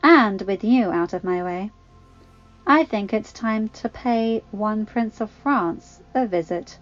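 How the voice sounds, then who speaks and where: A woman voicing a smug, menacing female villain in a storybook, gloating in a drawn-out manner. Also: mid-range voice, lively intonation, muffled recording, soft background music.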